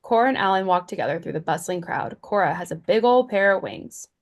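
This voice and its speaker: A woman's voice: fairly low pitch, lively intonation, a little fast. A woman reading stage directions as scripted narration at a screenplay table read.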